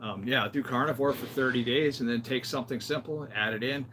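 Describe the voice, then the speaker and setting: A man's voice: mid-range voice, fairly quick, fairly bright tone, slight background noise. A man in his fifties talking casually and unscripted as a health vlogger, in a plain-spoken, rambling, conversational way. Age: 50s. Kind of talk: casual unscripted vlog talk.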